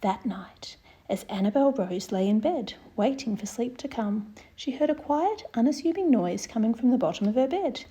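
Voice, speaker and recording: A woman's voice: on the high side, expressive, normal speed, warm tone. A woman presenting story time, expressively reading a picture book aloud and speaking directly to young listeners. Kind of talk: read-aloud picture book narration for children.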